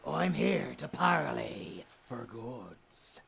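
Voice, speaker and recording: A performer in an audio drama voicing a swaggering, theatrical pirate captain, gravelly and taunting, dropping into breathy growls. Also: mid-range voice, very animated, drawn-out words, a bit bright, exaggerated voice. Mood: mock-polite, teasing. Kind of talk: cinematic audio roleplay acting.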